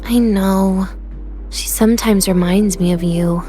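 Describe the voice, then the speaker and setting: A woman playing a composed young student in a video game, with dry humor and a gently teasing manner. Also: mid-range voice, fairly even intonation, measured pace, soft background music, natural voice.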